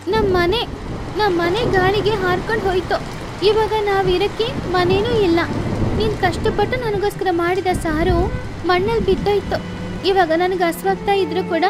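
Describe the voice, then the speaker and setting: Sparrow child played by a woman: tender, timid, pleading little girl for an animated storybook, pitched very high. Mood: sad, hurt. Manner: pleading, emotive childlike address to mother. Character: little sparrow daughter, childlike, loving, easily frightened. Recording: music behind the voice.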